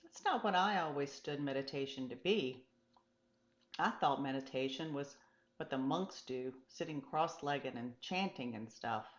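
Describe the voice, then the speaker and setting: A woman's voice: very deep voice, animated, unhurried, roomy sound, lo-fi sound. A woman voicing a curious, open-minded female beginner in a storybook, speaking with candid wonder and eagerness to learn. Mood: surprised, curious. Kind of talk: storybook character dialogue.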